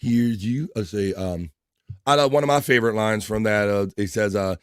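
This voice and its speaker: A hoarse man hosting a livestream, chatting casually and shouting out viewers' names in an upbeat way. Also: fairly low pitch, a little fast, dry recording, studio quality. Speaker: livestream host. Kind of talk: casual chat, reading chat messages.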